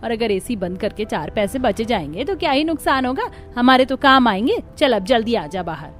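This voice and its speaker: A woman's voice: on the high side, sing-song, normal speed. A woman voicing a firm, thrifty older mother-in-law in a Hindi cartoon story, speaking insistently.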